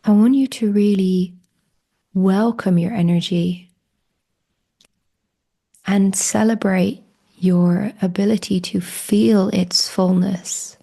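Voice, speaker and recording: A woman leading a guided meditation in a hushed, breathy voice that often drops close to a whisper, with slow, gentle invitations. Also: medium pitch, steady tone.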